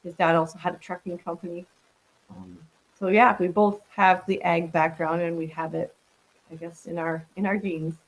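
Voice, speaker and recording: A woman's voice: lowish voice, fairly even intonation, moderate pace, poor audio. A woman in her sixties from the Netherlands, a podcast guest talking reflectively and casually, with frequent ums and restarts. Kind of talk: casual interview conversation.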